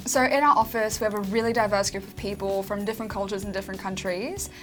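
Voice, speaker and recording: A woman's voice: medium pitch, fairly even intonation, fast talker, over music. A woman from Quebec introducing herself in a friendly, matter-of-fact way. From Quebec, Canada. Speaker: participant in a food swap. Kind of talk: casual chat.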